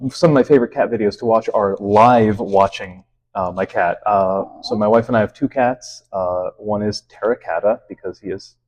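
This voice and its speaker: A man who teaches math, answering interview questions in a relaxed, friendly way with many fillers. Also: on the low side, moderate pace. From Lebanon.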